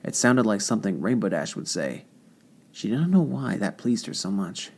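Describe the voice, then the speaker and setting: A narrator reading dramatic fan fiction in a solemn, measured way with deliberate phrasing. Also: medium pitch, sing-song, gravelly, husky. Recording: loud music under the voice. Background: loud music.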